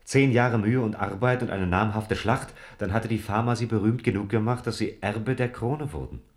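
An actor playing a loyal, sober royal counsellor in a radio play, speaking formal, deferential standard German. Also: male voice, fairly low pitch, normal speed, natural voice. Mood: reassuring, sober.

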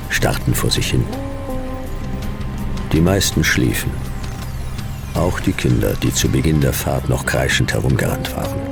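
Super deep gravelly detective guy, dry and world-weary, noir radio play, music underneath. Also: heavily acted. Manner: laconic, dry. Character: hard-boiled male private detective narrating his case, dry, world-weary.

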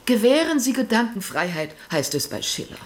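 A woman's voice: fairly high pitch, big pitch swings, moderate pace. An actress playing a theatrical, opinionated, indignant upper-class lady who declaims in a German radio drama.

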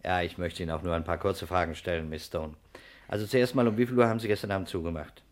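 An actor playing a calm, polite and methodical male investigator in a 1960s German radio crime play. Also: deep voice, fast, lightly acted. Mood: polite questioning. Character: calm, methodical male fire investigator, busy and slightly absent-minded. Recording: noisy 1960s radio recording.

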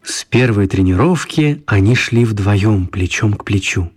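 A man narrating a children's radio story in an expressive, mock-grand dramatic style. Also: lowish voice, unhurried, soft background music. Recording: clear background noise and ambience.

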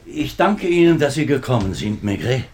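A man's voice: medium pitch, sing-song, moderate pace, a little mellow, a little hiss or hum. A man playing a nervous, worn-down middle-aged minister in a 1950s German radio drama, speaking formally but hesitantly. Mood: troubled, courteous. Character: nervous, worn-down government minister, husband and father.

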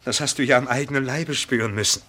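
An actor in a German radio play, playing a patient, lovestruck husband with a hesitant, self-deprecating way of speaking. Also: male voice, mid-range voice, fast, sharp tone.

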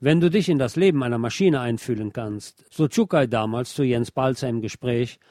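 Man, medium pitch, soft dark tone, scripted radio narration, articulate literary delivery. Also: normal speed, no room sound, clean studio sound. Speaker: radio presenter reading a music feature.

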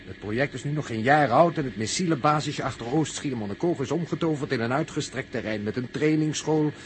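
An actor playing a serious, analytical space trainee in a 1960s radio drama, speaking formally and in measured, lecturing phrases. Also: male voice, mid-range voice, close to an everyday voice. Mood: earnest, probing. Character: serious, analytical male space pilot trainee pondering a mystery. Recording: slightly noisy early-1960s radio recording.

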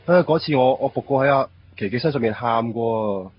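An actor playing a bumbling, timid, food-loving buddy in a Cantonese radio drama, with a hesitant, stammering, rambling manner and a Hong Kong accent. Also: male voice, mid-range voice, a little fast, breathy edge, natural voice. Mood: awkward, sheepish. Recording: dull lo-fi radio drama, noisy ambience.

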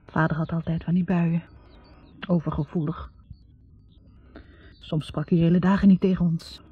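An actress playing a bitter, reflective young woman academic in a 1960s radio drama, speaking in a halting, confessional way. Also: fairly low pitch, little pitch movement, husky, soft background music. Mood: bitter, hurt. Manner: halting, confessional, repeating words. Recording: muffled 1960s radio recording.

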